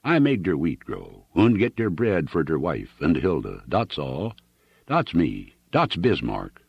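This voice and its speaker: A man's voice: extremely low pitch, huge pitch swings, unhurried, vocal fry, breathy edge, dry recording, exaggerated voice. A man doing a homesick old-world German farmer. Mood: reminiscing. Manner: broken English, heavy German exclamations. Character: middle-aged male German immigrant farmer, sentimental and proud. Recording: dull dry lo-fi recording.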